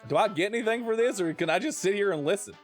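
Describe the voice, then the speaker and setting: A man's voice: sits high, animated, fast, faint music behind. An American man giving casual, joking gameplay commentary and reading text aloud, with playful, folksy drawled asides. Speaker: game streamer.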